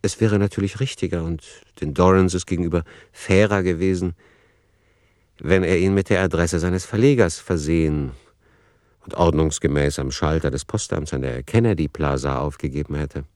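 A man playing a reflective author who narrates a literary radio play in a deliberate, ponderous manner. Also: low voice, darkish tone, no room sound, clean studio sound, natural voice. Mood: measured, ironic. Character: reflective male author musing over his novel.

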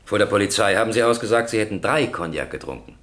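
An actor playing a stern, impatient presiding judge in a radio crime drama, questioning formally and insistently. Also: male voice, on the low side, animated, quick pace, fairly bright tone.

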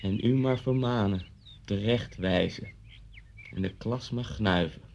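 A man's voice: lowish voice, unhurried, creaky, a little mellow, slight background noise. An actor playing an intense, brooding man who confronts his old schoolmaster with vivid, pointed storytelling in a Dutch radio play. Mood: insistent, vivid. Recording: dull lo-fi radio play recording.

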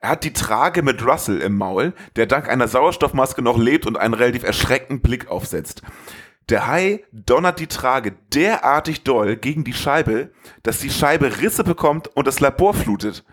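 A man's voice: medium pitch, lively intonation, moderate pace, a bit bright, husky. A man hosting a podcast, reading a scripted film summary as a narrator. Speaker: podcast host.

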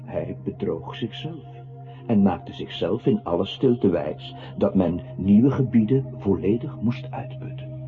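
A deliberate radio play narrator, lowish voice, kinda muffled old tape. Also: male voice, expressive, soft background music. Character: male narrator commenting in a literary choral radio play. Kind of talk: literary radio drama narration.

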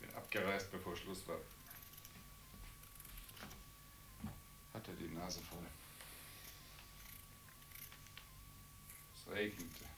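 Radio play husband: grumbling middle-class journalist, very low-pitched and terse, faint noise behind. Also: male voice. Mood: irritated, weary. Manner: terse, morose. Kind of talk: radio play dialogue acting.

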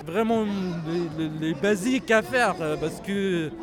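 A man from Palestine, speaking French haltingly and passionately in a street interview, often raising his voice. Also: high voice. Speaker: protester interviewed at a rally. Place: outdoor street demonstration. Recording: crowd noise at a street protest. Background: crowd of protesters.

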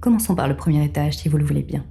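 A deep, mellow female storyteller, played by an actress, narrates a dystopian tale with cool detachment. Her delivery is formal, measured and quietly ironic, with faint music underneath. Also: vocal fry. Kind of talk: scripted literary narration.

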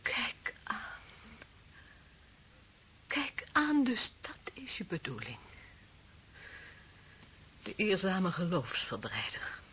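A woman's voice: fairly low pitch, wildly swooping pitch, drawn-out words, pauses often, heavily acted. An actress playing an embittered, lonely young policewoman in a radio drama, bitter and taunting, often dropping to a whisper. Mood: scornful, anguished. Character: embittered, lonely young policewoman, former death squad member. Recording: muffled phone-like band, clearly noisy old recording.

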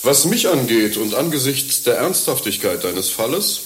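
A man's voice: medium pitch, very animated, moderate pace, a bit bright. A man playing a mocking, mercenary servant in a satirical radio play, lecturing his friend with crude, pedantic condescension. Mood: scolding, scheming. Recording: roomy, with faint hiss.

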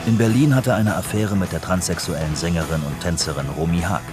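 A man's voice: low-pitched, fast talker, music in the background. A man reading scripted narration for a radio documentary.

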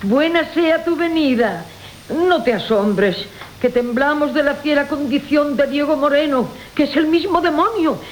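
A high-pitched, greedy older Castilian mother from a classic radio farce. She is sly and scheming, coaching and wheedling theatrically. The old broadcast carries a faint hiss. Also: measured pace, poor audio. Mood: feigned piety. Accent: Castilian Spanish.

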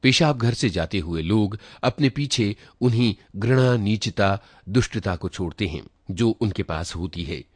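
A man's voice: on the low side, expressive, measured pace, few pauses, slightly rough, dry recording. A man reading a literary short story aloud in a dramatic, reflective first-person manner. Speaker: story reader.

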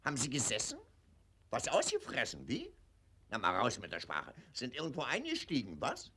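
An actor playing a pompous, patronizing older colonel in a 1940s radio play, blustering and lecturing. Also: male voice, mid-range voice, wildly swooping pitch, normal speed, bright, forceful tone, breathy edge, heavily acted. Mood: prying.

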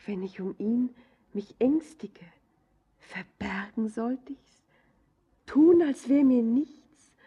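An actress playing an anxious, lovesick young daughter full of dread in a stylized radio drama, pleading in breathy, poetic phrases. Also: female voice, fairly high pitch, lively intonation, slow pace, highs cut off, exaggerated voice.

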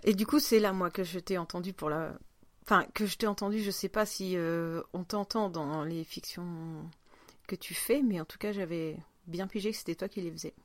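A woman's voice: on the low side, normal speed, no echo. Casual woman podcast host, dry close sound. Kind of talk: casual conversational interview.

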